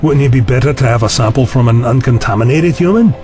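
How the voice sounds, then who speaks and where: A man's voice: mid-range voice, fast talker, darkish tone, soft background music, close to an everyday voice. A man playing a sceptical, plain-spoken and anxious human ally in an audio drama, questioning and wry.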